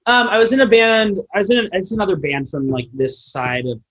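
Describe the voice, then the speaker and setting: A man's voice: high-pitched, very animated, a little fast, a bit bright, low-quality recording. A man in his twenties chatting casually as a musician being interviewed, rambling and self-deprecating. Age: 20s. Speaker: podcast guest, band musician.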